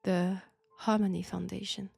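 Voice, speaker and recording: Woman playing a possessed female professor, French accent, ominous and flirtatious, creaky low-lit mellow tone, unhurried audio drama delivery. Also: little pitch movement, dry recording, soft background music, exaggerated voice. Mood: grave, ominous. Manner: deliberate, teasing, ominous. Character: sinister, flirtatious female archaeology professor possessed by ancient god. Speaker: voice actor in audio roleplay. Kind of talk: slow, intimate roleplay acting.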